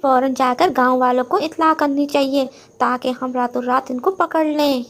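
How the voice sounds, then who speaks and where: Woman as a high-voiced watchful male pigeon. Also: fairly even intonation, moderate pace, natural voice. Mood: urgent. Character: responsible, watchful male pigeon village protector. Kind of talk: children's cartoon story voice.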